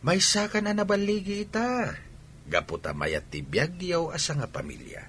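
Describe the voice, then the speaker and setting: A man's voice: sits high, expressive, moderate pace, fairly bright tone, a little hiss or hum. A man playing a candid, caring elderly village father-in-law in a radio drama, with a Filipino accent. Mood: earnest, reproving. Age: older. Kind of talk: Ilocano radio drama acting.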